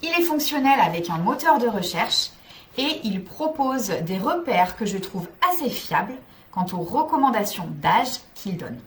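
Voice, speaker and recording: A woman's voice: medium pitch, big pitch swings, unhurried. A woman psychologist giving scripted, structured advice to parents in a didactic way, addressing listeners directly.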